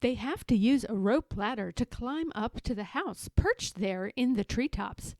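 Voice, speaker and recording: A woman reads a children's storybook aloud in a fairly high voice with very lively swings of pitch. She is theatrical and expressive, recorded close and dry. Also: a little slow, no room sound. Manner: animated, theatrical. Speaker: storybook reader.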